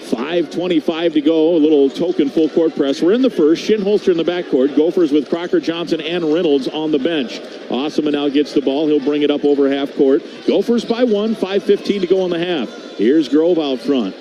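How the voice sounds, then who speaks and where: A man's voice: on the high side, normal speed, mellow. An American man doing live radio play-by-play basketball commentary from an arena booth. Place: broadcast booth in a sports arena. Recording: clear background noise from arena broadcast.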